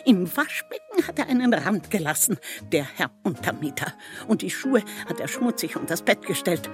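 A woman's voice: on the low side, sing-song, moderate pace, soft background music. An actress playing a furious, nagging landlady in a radio play, scolding indignantly about petty grievances. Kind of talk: German radio play monologue.

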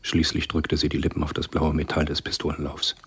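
A male narrator with an extremely deep, gravelly-husky voice tells a radio drama. His delivery is sober and measured, with little melodic movement. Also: completely flat delivery, a bit bright. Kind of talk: scripted radio play narration.

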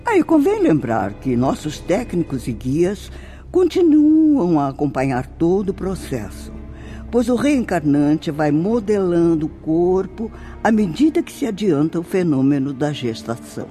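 A composed spirit sister in a Brazilian radio drama, with a Brazilian accent. Her mellow voice moves expressively as she gives formal, didactic explanations, with music behind her. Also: on the low side, big pitch swings, a little slow. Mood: composed, instructive. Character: composed, instructive spirit sister guiding newcomers.

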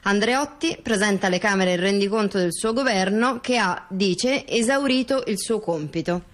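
A woman's voice: mid-range voice, normal speed. Woman reciting formal legal text. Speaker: stage performer. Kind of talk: staged reading of a court ruling.

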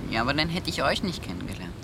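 Hopeful young expedition lad, rumbly background. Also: male voice, big pitch swings, very fast, vocal fry, noisy background. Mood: hesitant. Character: hopeful, modest teenage boy stowaway on polar expedition. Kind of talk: German radio play acting.

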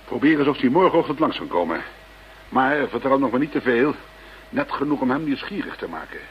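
An actor playing a courteous, measured male investigator in a Dutch radio drama. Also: mid-range voice, big pitch swings, natural voice. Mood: conciliatory. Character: courteous male investigator, sceptical but open-minded. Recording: muffled, noisy old radio drama recording.

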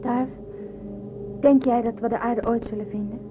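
A woman's voice: fairly high pitch, steady tone, normal speed, phone-quality sound, over music, natural voice. An actress playing a watchful, wondering young female space traveller in a radio play, speaking in short observations and questions. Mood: uneasy. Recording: phone-thin radio drama audio, clear noise.

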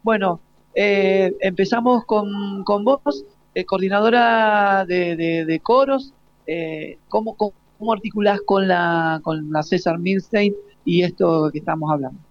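A man's voice: high-pitched, unhurried, no echo. An Argentine man hosting an informal live radio interview in a hesitant, jokey way. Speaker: radio host. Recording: slightly dull, lo-fi live radio.

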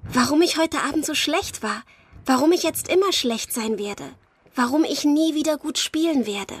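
A woman's voice: high-pitched, expressive, moderate pace. A woman playing a naive, devoted young theatre actress in love in a radio drama. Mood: joyful, pleading. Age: young adult.

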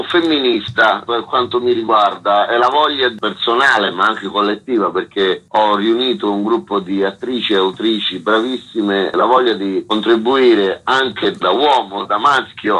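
Bright, forceful low-voiced man. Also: steady tone, measured pace, few pauses, breathy edge, rough recording. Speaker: director and co-author, interview guest. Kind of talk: conversational interview talk.

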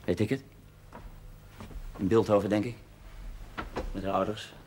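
A man's voice: fairly low pitch, steady tone, unhurried, a little mellow, lightly acted. An actor with a Dutch accent playing a sardonic, evasive husband and new father in a period television drama, with a dry, brusque manner. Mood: defensive, bitter. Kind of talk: naturalistic television drama acting. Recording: noisy 1970s television soundtrack.

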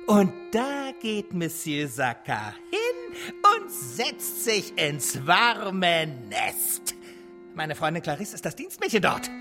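An insolent coachman in a radio drama, swinging widely in pitch over clear music. He takes his time, then hurries, full of spite and sly insinuation. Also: wildly swooping pitch, exaggerated voice. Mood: gloating, spiteful. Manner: insinuating, drawn-out then rushed phrasing. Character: insolent, scheming dismissed coachman, spiteful gossip. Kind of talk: German radio drama acting.

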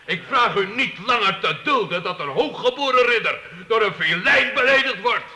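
Casting: villainous highborn knight, pompous, high and very bright voice, echoey radio play. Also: male voice, animated, normal speed, full character voice. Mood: indignant, scornful. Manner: indignant, pompous. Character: haughty, vindictive medieval knight, the villain. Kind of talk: radio drama acting.

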